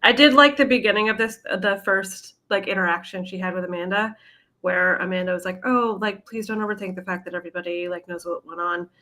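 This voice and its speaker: A woman's voice: lowish voice, steady tone, brisk, sharp tone. A woman co-hosting a book club podcast, chatting casually and peppering her talk with "like". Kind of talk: casual chat.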